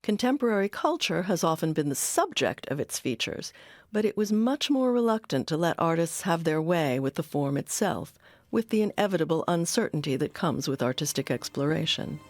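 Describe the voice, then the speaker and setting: A woman with an American accent giving scripted documentary narration in a measured, articulate way. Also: low-pitched, very animated, no room sound, studio quality.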